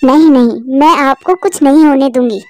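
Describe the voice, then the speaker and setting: A woman's voice: high voice, normal speed, mellow, dry recording, lightly acted. A woman doing an anxious pigeon wife. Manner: soothing, anxious. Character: worried, loyal female pigeon wife.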